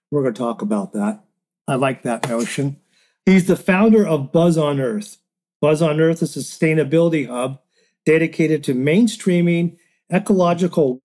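A man's voice: fairly high pitch, dark tone. A man hosting a podcast, introducing and interviewing a guest. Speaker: podcast host.

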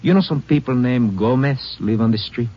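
A man's voice: normal speed, close to an everyday voice. A man acting a menacing robber with a knife in a 1950s radio play, muffled old broadcast sound. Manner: threatening, low hissed commands.

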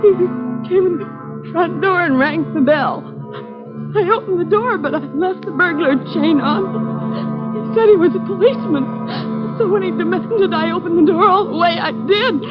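An actress playing a terrified young housewife who was attacked, crying and halting in a 1940s radio drama. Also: female voice, very high-pitched, over loud music. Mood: distraught. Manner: halting, distraught. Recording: lo-fi old radio broadcast.

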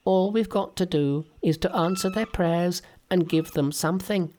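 A man's voice: high voice, normal speed, darkish tone, slight background noise, voice pushed up, close to an everyday voice. A man voicing a cocky male monkey king who pretends to be a god, speaking in a grand, mock-solemn way. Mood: sly.

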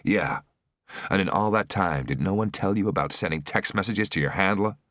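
A man's voice: low-pitched, normal speed, a bit bright, no room sound, studio quality. A man voicing a stern undercover rescuer in an audiobook thriller, curt, scolding and authoritative. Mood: scornful.

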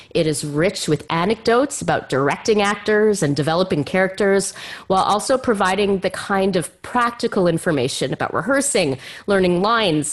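A woman hosting a podcast, speaking with effusive emphasis and dramatic phrasing. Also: mid-range voice. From Canada. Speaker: podcast host. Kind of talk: scripted podcast introduction and interview.

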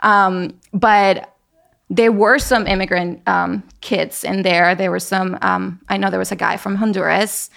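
A young woman, a Spanish speaker, tells her story with animation and frequent laughter. Her voice has a gravelly, creaky edge. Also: mid-range voice, fairly even intonation, measured pace, a bit bright. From Venezuela. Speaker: guest. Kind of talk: casual conversation.

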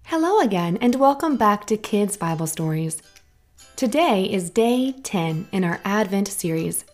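A woman reads a children's Bible story at a slow, unhurried pace, gentle and clear. Her voice sits in an ordinary middle pitch, and faint music plays under her. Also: lively intonation. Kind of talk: scripted narration for children.

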